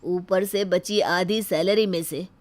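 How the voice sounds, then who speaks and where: A woman's voice: fairly low pitch, steady tone, takes it slowly, a little mellow. A woman voicing a put-upon grown son in a family story, protesting in an aggrieved manner. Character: put-upon young adult son of the household.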